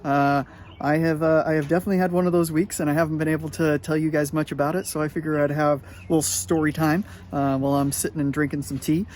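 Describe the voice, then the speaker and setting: A middle-aged man telling a casual story to his students in a chatty, animated way, with lots of filler words. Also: a little high, fast, slight background noise. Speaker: high school sports medicine teacher.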